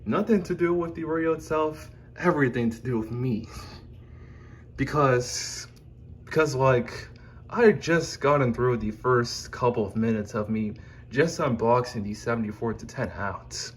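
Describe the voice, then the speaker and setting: A man presenting his collection in an informal, unscripted home video, speaking in halting, meandering fragments into a phone camera. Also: mid-range voice. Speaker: YouTuber presenting own collection. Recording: clear background noise and room ambience.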